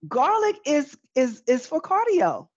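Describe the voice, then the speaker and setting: A woman's voice: high-pitched, sing-song, measured pace, a little mellow, no room sound. A middle-aged woman giving a live online cooking demonstration, chatty and conversational, repeating phrases for emphasis as she teaches. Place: indoor room with folding screen, demo table. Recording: dull, lo-fi video-call audio.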